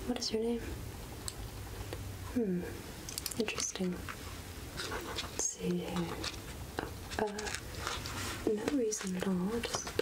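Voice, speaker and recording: A woman playing a gentle, absent-minded witch healer in a soft-spoken ASMR roleplay, half-whispering hesitantly close to the microphone. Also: medium pitch, lightly acted. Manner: slow, gentle, hesitant murmuring with repeated phrases. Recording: close-up ASMR with clear background noise.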